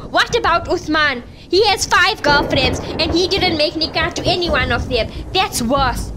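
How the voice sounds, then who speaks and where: A defiant teenage daughter voiced by a woman in a radio drama, pleading and arguing back. Her voice is very high, with loud noise running under the recording. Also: lively intonation, normal speed. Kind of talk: audio drama acting.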